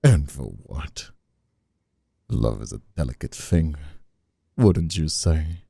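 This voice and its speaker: A man voicing a smug, menacing, vengeful mob boss in an ASMR audio roleplay, with a slow, mocking, theatrical drawl and a gravelly, husky voice. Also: sing-song, dry recording, clean studio sound, exaggerated voice. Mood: mocking menace. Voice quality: very deep, gravelly, dark, husky.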